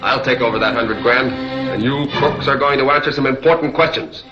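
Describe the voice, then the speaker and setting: A man's voice: medium pitch, brisk, lightly acted. A man playing a bold, menacing masked vigilante in a 1940s film serial. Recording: dull 1940s film soundtrack under music.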